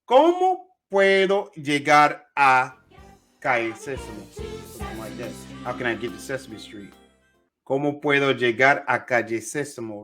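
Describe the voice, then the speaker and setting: A young man teaching a language lesson, repeating phrases slowly and switching between two languages in an animated way. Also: mid-range voice. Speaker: Spanish teacher.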